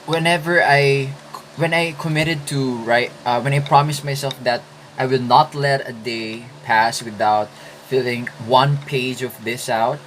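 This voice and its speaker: A man in his twenties from the Philippines, whose first language is Filipino, talking through writing tips in a casual vlog with thoughtful pauses and restarts. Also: mid-range voice, steady tone, a bit bright, slight background noise, rough recording. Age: 20s. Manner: slow, thoughtful, with hesitant restarts. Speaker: writing vlogger.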